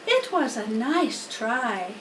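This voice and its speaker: A woman's voice: fairly high pitch, big pitch swings, takes it slowly, a bit bright, heavily acted. A woman voicing a wise, matter-of-fact female spider in a storybook, patiently instructive and a little wry. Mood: coaching. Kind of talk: storybook character voice. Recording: roomy, distant mic, faint noise.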